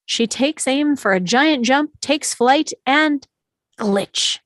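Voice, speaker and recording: A woman's voice: sits high, animated, takes it slowly, no echo, clean studio sound. A woman reading stage directions as the narrator of a live script table read.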